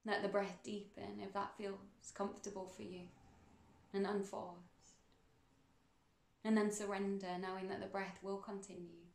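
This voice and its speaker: A woman guiding a meditation in a soothing, unhurried manner, with measured phrases and pauses between them. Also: mid-range voice, flat delivery, vocal fry, echoey room. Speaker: meditation guide. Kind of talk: guided meditation narration.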